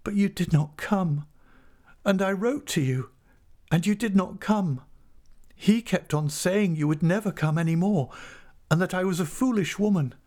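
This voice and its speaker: A man's voice: high-pitched, a little mellow, voice pushed up. A man voicing a distraught young woman, bitter and pleading, in an impassioned audiobook reading of a classic novel. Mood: mournful. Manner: impassioned, repetitive, halting pleas.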